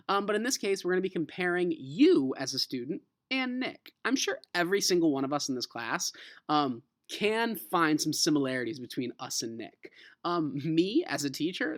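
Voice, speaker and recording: A male teacher with an animated, swinging pitch and a close, dry sound. He moves between storybook read-aloud and casual lesson talk, expressive and informal as he addresses his students. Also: no echo. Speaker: teacher reading a children's novel aloud.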